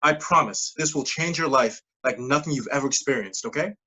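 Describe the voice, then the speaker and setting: A persuasive scheme recruiter played by an actor in a staged play reading. The voice sits at a middling pitch with a slightly husky edge, pressing insistently in clipped phrases while dodging plain words. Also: a little fast, sharp tone, rough recording. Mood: insistent, earnest. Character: smooth-talking, pushy pyramid-scheme recruiter mentoring a junior coworker.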